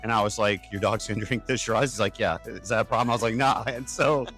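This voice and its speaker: A man with a fairly low voice tells stories in a casual, wry style. He slips into quoted back-and-forth as he talks, with faint music in the background. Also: quick pace, fairly bright tone. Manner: wry, acts out quoted dialogue. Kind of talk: casual anecdotal storytelling.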